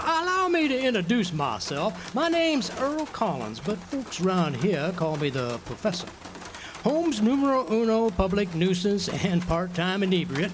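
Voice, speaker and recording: Man playing pompous, swooping, high-voiced genial drunk. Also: noisy background. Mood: jovial, pompous. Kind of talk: radio drama acting.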